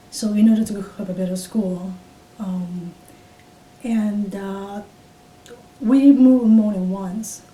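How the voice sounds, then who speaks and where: A woman in her fifties from Taiwan with a Taiwanese accent, speaking English as a second language and recalling her past in an oral history interview, halting with many fillers and restarts. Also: mellow. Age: 50s. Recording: roomy, distant mic with faint rumble.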